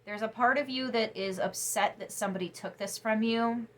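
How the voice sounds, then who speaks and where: A woman's voice: fairly high pitch, normal speed. Sniffly, chatty woman tarot reader. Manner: chatty, emphatic, drops to soft musing asides. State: sniffly after crying. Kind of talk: casual tarot reading to camera.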